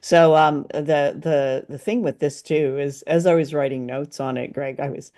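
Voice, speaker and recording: A woman's voice: deep voice, steady tone, moderate pace, mellow. A woman co-hosting a podcast, chatting casually about an old radio drama and laughing often. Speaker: podcast co-host. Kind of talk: casual review chat.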